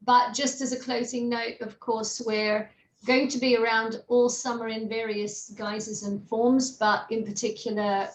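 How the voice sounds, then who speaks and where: A woman hosting a video call event, making closing announcements in an upbeat, fluent way. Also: mid-range voice. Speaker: event host. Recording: roomy call audio with faint noise.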